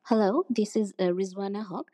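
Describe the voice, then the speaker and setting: A woman, earnestly leaving a voicemail and pausing often with "uh". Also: mid-range voice, warm tone, dry recording. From Bangladesh. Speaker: voicemail caller. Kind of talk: recorded voicemail testimony.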